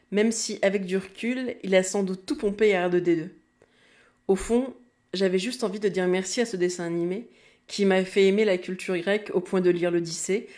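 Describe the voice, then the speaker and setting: A woman's voice: on the low side, a little mellow. A woman in her thirties with an accent from France, hosting a nostalgic podcast monologue in a wry, confiding, conversational way. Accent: French from France. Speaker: podcast host.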